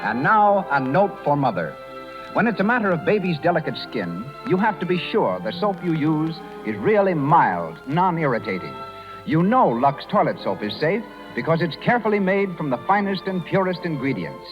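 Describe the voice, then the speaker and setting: A man with a high, lively American voice announces a 1930s radio program. His delivery is formal and proclaiming, made for introductions and sponsor copy. Music plays prominently on a dull old broadcast recording. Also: normal speed.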